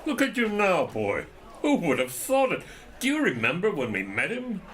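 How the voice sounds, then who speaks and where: A hearty, self-satisfied gentleman, as a man plays him, pompous and jovial. The voice is high for a man and leaps dramatically up and down, in the manner of theatrical drama. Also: huge pitch swings, normal speed, some chatter behind, exaggerated voice. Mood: proud, jovial.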